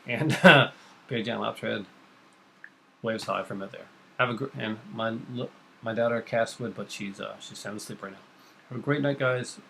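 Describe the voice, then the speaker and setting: A man's voice: on the low side, normal speed, vocal fry, a bit bright, a little hiss or hum. A middle-aged man hosting a casual chat vlog, rambling enthusiastically in a home kitchen. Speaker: vlog host. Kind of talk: casual chat about comics and horror films.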